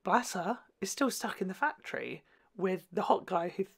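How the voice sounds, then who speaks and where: A woman hosting a video, giving casual, gushing and expressive commentary in a campy way. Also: mid-range voice, normal speed, vocal fry, breathy edge. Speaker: YouTube host reviewing a children's TV show.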